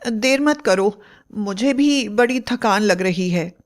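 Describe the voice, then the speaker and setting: A woman's voice: mid-range voice, expressive, slow pace. A woman voicing a coaxing, affectionate young wife with an eerie secret, in a storybook tale. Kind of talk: dramatized Hindi storybook acting.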